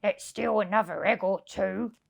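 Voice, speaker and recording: A woman's voice: medium pitch, moderate pace, gravelly. A woman voicing a greedy, gullible male thief from a children's storybook, in a gloating manner.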